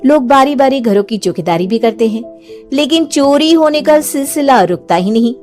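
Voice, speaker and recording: A woman storyteller giving an expressive, scripted narration of a dramatic tale. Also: high-pitched, moderate pace, faint music behind.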